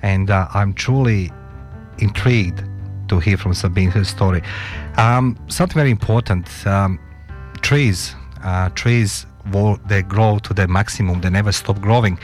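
Low-voiced guy hosting a radio show, rambly and excited, some music faint in back. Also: unhurried. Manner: rambling, animated, fumbling phrasing. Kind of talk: talk radio interview. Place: radio studio.

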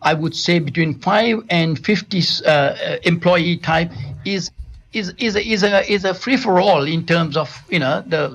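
A man's voice: fairly high pitch, a bit bright, breathy edge. A middle-aged man from Sri Lanka, a company founder answering interview questions in a studio, hesitant and full of restarts. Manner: hesitant, many restarts and fillers. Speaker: telecom company founder, guest.

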